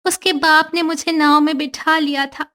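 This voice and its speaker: A sorrowful young mermaid. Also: female voice, sits high, little pitch movement, normal speed, sharp tone. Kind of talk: cartoon storytelling. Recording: faint background music.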